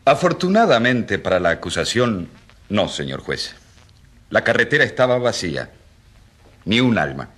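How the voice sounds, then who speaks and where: An actor playing an ironic, defiant rural priest, eloquent and emphatic, in a radio drama. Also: male voice, lowish voice, sing-song, normal speed, long pauses, a bit bright. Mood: sardonic.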